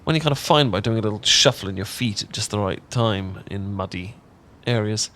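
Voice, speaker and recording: A man's voice: fairly low pitch, fairly quick, pushed and bright, slight background noise. A man with an English accent acting a bashful, affectionate young roommate in an intimate ASMR roleplay, chatty and stammering when flustered. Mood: warm, chatty. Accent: British, English. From England. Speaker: audio roleplay voice actor.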